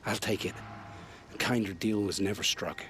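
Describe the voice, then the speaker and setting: A man's voice: on the low side, fairly even intonation, moderate pace, a bit bright, a little hiss or hum, natural voice. A man acting an earnest, honour-bound, guilt-ridden family man in a video game, speaking in formal old-fashioned phrases. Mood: grateful, earnest.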